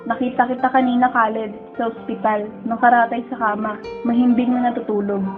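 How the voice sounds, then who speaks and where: A woman acting a high-voiced, emotional schoolgirl, phone-like sound. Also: level tone, fairly quick, lightly acted. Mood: concerned. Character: distraught young female student, sensitive, lonely, dreamy. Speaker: narrator and lead character in a radio drama. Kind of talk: emotional first-person radio drama narration. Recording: muffled phone-like sound with music underneath.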